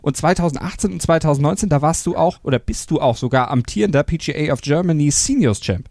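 A man hosting a sports podcast, with a voice pitched a bit higher than most men. He asks his questions fluently and conversationally, and the sound is dry and close. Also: fast talker, darkish tone, no room sound. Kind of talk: podcast interview.

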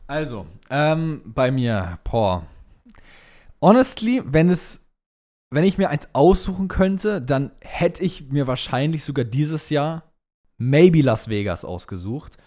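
A man's voice: fairly high pitch, big pitch swings, takes it slowly, darkish tone. A young man, co-hosting a podcast in casual banter with slangy phrasing. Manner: slangy, mixes English phrases into German. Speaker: podcast co-host. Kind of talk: casual banter, answering listener questions.

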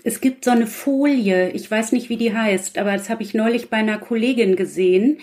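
Slightly high-voiced woman chatting casually. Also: brisk, a little mellow. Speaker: author, podcast guest. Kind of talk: casual chat.

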